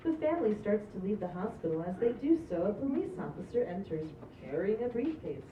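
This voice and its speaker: A woman's voice: medium pitch, sing-song, fairly quick. A woman reading stage directions as scripted narration at a table read. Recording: echoey, distant, dull, slightly noisy room.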